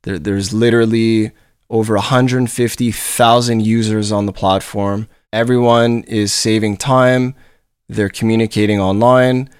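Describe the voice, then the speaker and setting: A man's voice: lowish voice, steady tone, unhurried, clean tone, dry recording, clean studio sound. Nasal-voiced man hosting a solo podcast. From Montreal, Canada. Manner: upbeat, rambling, repeats words as he restarts. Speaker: podcast host and company leader. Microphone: studio podcast microphone.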